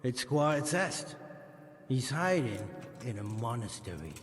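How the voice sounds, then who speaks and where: A menacing gang recruiter, echoing. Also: male voice, medium pitch, big pitch swings, a little slow, soft background music. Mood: sardonic. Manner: curt, sardonic, coarse-spoken. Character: wary, menacing criminal gang recruiter, a hard man. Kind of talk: dramatic video game cutscene acting. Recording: reverberant hall echo.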